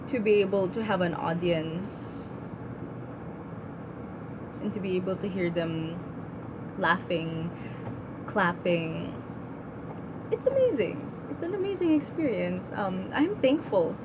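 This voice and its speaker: A young woman vlogging in a casual, heartfelt way, with plenty of ums and likes. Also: on the low side. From Philippines. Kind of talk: casual reflective vlog. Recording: noisy room with air conditioning hum.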